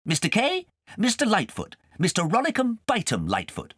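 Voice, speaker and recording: A man's voice: high-pitched, huge pitch swings, normal speed, fairly bright tone, slightly rough, no echo, studio quality, voice pushed up, exaggerated voice. A man voicing a friendly, knowing witch's cat in a storybook, chatty and matter-of-fact. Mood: brisk, friendly.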